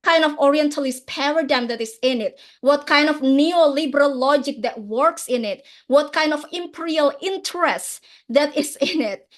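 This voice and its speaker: High-voiced Indonesian woman, impassioned speaker. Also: moderate pace, few pauses. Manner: passionate, often ends points with "right?". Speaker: conference speaker. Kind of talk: academic conference talk.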